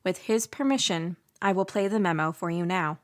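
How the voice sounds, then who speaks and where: A woman playing a gentle, earnest young botany graduate who works as an innkeeper, telling her story in a measured, scripted way. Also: fairly low pitch, expressive, close to an everyday voice. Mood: warm, pleased. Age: young adult. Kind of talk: scripted first-person storytelling.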